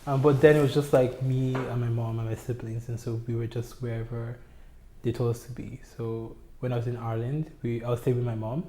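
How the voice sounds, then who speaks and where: A young man from Nigeria telling his life story in an oral history interview, relaxed and hesitant, with many fillers. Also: medium pitch, fairly quick, reverberant, a little hiss or hum. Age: young adult. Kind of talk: casual personal storytelling. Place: community center room.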